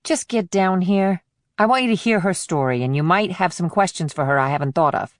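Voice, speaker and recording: A woman's voice: fairly low pitch, fairly quick, dry recording, clean studio sound, natural voice. A woman voicing an insistent, businesslike female investigator in audiobook dialogue, firm and explanatory. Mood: insistent, irritated.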